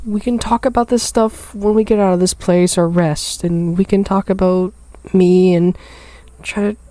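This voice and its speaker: A woman's voice: mid-range voice, fairly even intonation, moderate pace, dark tone, no echo, natural voice. A woman voicing an older sister who is curt and abrupt, then earnest and guilty.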